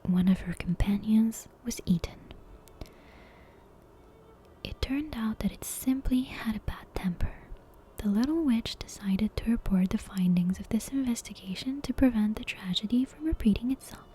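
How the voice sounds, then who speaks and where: A woman reading a bedtime story as ASMR in a soft, breathy, unvoiced whisper, with hushed and deliberate narration. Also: a little high, slight background noise. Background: soft game soundtrack music.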